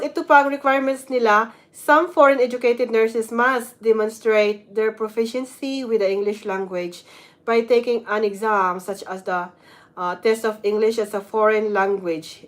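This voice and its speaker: A woman's voice: fairly high pitch, fairly even intonation, normal speed. Filipino woman vlogger mixing Tagalog. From Philippines. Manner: switches between Tagalog and English, reads parts aloud, chatty with fillers. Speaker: vlogger, nurse. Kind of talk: casual instructional vlog.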